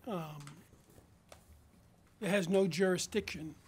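An older board member at a public meeting, asking measured, procedural questions. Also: male voice, sits high, a little mellow, husky. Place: town hall meeting room.

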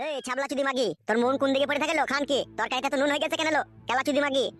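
A man's voice: very high voice, fairly even intonation, very fast. A man voicing a brash, foul-mouthed, hot-tempered male character in an adult comedy cartoon, scolding and ranting crudely. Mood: furious. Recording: very loud music under the voice.

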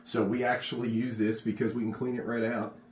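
A man's voice: medium pitch, level tone, fairly quick. A middle-aged man walks through a project step by step, chatty and encouraging as he works. He is recorded on a phone in an unfinished garage with plenty of room echo and a faint hiss behind him. His voice sits in a plain middle range. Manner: chatty and encouraging, talks while working. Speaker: contractor teaching epoxy work. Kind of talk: casual how-to demonstration.

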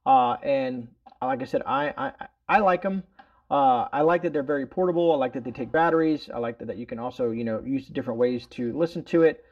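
A man's voice: mid-range voice, expressive, brisk, gravelly, muffled recording. A man hosting a casual product demonstration indoors at home, explaining things in a rambling, folksy way. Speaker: host of a product review video.

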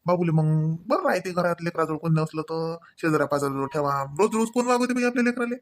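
Cartoon village elder , played by a man: fair-minded father-in-law, fairly high voice, Varhadi Marathi accent, admonishing with questioning tags. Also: animated, fast, lightly acted. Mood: matter-of-fact. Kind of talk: Marathi comedy cartoon dialogue.

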